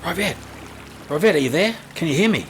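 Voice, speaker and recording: An actor playing an earnest, concerned planner and rescuer in a fantasy audio drama. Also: male voice, a little high, expressive, fairly quick, noisy background, natural voice. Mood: anxious, apologetic. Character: Drivus, concerned, apologetic male schemer and rescuer.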